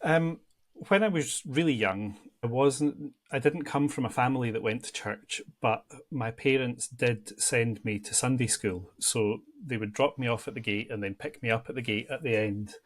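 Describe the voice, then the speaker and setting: A man from near Edinburgh with a Scottish accent, a church ministry worker reflecting in a podcast interview, pausing with frequent ums. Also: medium pitch. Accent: Scottish, Edinburgh area. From Scotland, near Edinburgh. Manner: thoughtful, with frequent ums and restarts.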